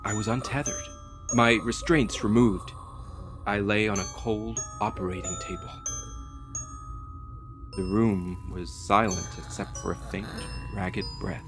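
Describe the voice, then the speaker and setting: An actor playing a weary, solemn man who narrates his ordeal slowly and deliberately in an audio drama. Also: lowish voice, fairly even intonation, music in the background, natural voice. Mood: grave, haunted.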